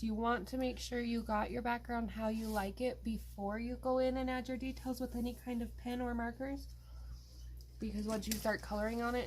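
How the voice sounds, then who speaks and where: A woman's voice: a little high, rough recording. Chatty woman showing pastel blending. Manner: relaxed, chatty instructions while working. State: losing her voice. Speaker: art tutorial host. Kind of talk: casual step-by-step craft demonstration.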